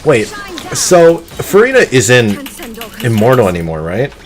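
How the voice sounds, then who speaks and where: Casual streamer with a lively voice. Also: male voice, mid-range voice, takes it slowly. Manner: casual, exclamatory reactions. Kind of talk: live gameplay commentary.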